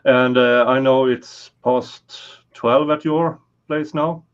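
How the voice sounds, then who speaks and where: Swedish man with a Swedish accent, host of a video-call livestream, unhurried and halting, full of fillers and restarts, with a mid-range voice. The audio comes through slightly dull and lo-fi. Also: medium pitch, steady tone, clean tone. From Sweden. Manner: hesitant, many fillers and restarts. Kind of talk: casual livestream chat.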